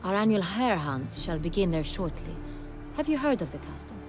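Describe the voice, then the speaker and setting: An actress playing a commanding, regal young duchess in a video game, speaking formally and with authority. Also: female voice, lowish voice, very animated, moderate pace. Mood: thoughtful, formal. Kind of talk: video game cutscene acting. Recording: music behind the voice.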